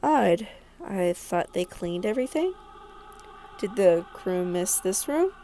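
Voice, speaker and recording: A woman with a dark, mellow voice at a middle pitch reads a visual novel aloud for a game video. She acts out the protagonist's thoughts expressively and drops in casual asides, over faint background ambience. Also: big pitch swings, takes it slowly, slight background noise. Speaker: gaming video creator reading a visual novel. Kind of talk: dramatic read-aloud narration with casual asides.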